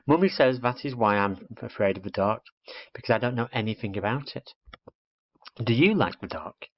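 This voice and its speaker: Man putting on a high, earnest baby owl. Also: lively intonation, normal speed, dry recording, highs cut off, lightly acted. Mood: puzzled. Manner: earnest, questioning, easily cross. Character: small curious boy baby barn owl.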